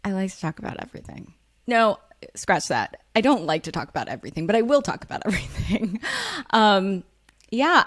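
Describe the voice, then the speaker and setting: Woman, thirties, candid interview guest, self-deprecating, low pitch, dry close recording. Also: creaky, no echo. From United States. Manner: frank, self-deprecating, laughs often, many fillers. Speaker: author and guest.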